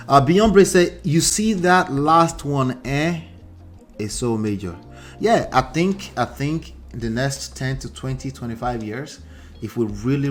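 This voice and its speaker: Nigerian guy hosting a relationship livestream, talks in bursts, repeats himself, faint music behind. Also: mid-range voice. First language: Akure dialect. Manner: animated, repeats himself, reads out viewer comments. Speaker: relationship coach host. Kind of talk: livestream commentary.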